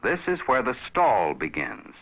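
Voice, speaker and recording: A man reading scripted instructional narration for a training film in a measured, explanatory, didactic manner. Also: medium pitch, wildly swooping pitch, slightly rough. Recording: dull vintage training film soundtrack.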